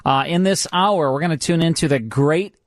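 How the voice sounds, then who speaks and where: A man's voice: a little high, normal speed, dry recording. A man hosting a radio show with casual, jokey, enthusiastic banter in a studio.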